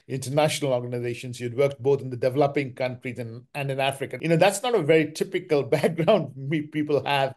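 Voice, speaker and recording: A man's voice: mid-range voice, darkish tone. A man hosting a podcast, giving introductions and asking interview questions. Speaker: podcast host.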